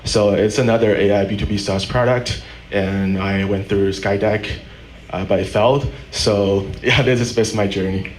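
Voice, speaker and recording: Young male panelist, startup founder, fairly low pitch, casual delivery, faint live-event background noise. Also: steady tone, normal speed. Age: young adult. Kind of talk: casual personal story on a panel.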